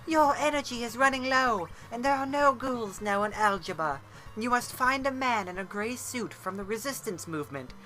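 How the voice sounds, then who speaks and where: A storyteller voicing a beautiful, fading woman who gives grave warnings in a halting, solemn manner. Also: medium pitch, lively intonation, pushed and bright, soft background music, pitched above the performer's own voice, rough recording. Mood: faint, urgent. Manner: halting, solemn, drawn-out delivery.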